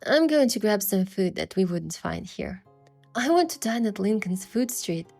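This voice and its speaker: A woman voicing an excitable, playful young heroine in love, in a romance game with first-person storytelling. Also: mid-range voice, normal speed, vocal fry. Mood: happy. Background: music.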